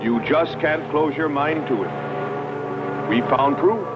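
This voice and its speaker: An actor playing an eager, argumentative space explorer in a persuasive, musing manner, in dubbed 1960s science fiction film style. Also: male voice, on the high side, slow. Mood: earnest, persuasive. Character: eager, argumentative male space explorer convinced of alien intelligence. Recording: dull old film soundtrack, loud music.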